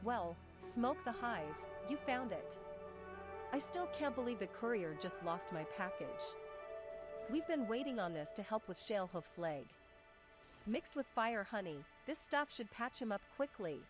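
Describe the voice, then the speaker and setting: A woman's voice playing an expressive, folksy townsfolk character in scripted fantasy game dialogue. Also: a little high, unhurried, loud music behind the voice. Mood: relieved, exasperated.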